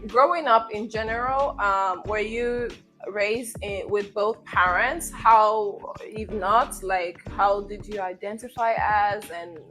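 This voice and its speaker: A woman's voice: mid-range voice, slow pace, a bit bright, soft background music. A woman hosting a podcast, interviewing her guest in a casual, conversational way.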